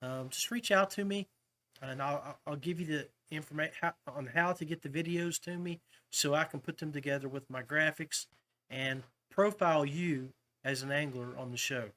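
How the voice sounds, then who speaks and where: A man's voice: a little high, normal speed, bright, forceful tone. A man casually hosting a podcast, talking directly to his listeners, with music behind him. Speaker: podcast host.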